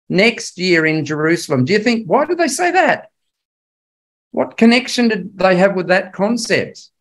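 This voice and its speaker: Male Bible class teacher, older, average pitch; explains history conversationally, leaning on key words and quizzing listeners. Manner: conversational, emphatic, puts rhetorical questions to listeners. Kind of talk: illustrated history lecture to a religious class.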